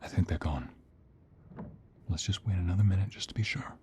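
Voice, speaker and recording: A man playing a tender, protective mobster fiancé in an ASMR roleplay, hushed and intimate, reassuring the listener in a breathy murmur. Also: low voice, fairly quick, background noise, lightly acted. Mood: hushed, sheepish. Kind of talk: ASMR roleplay audio drama.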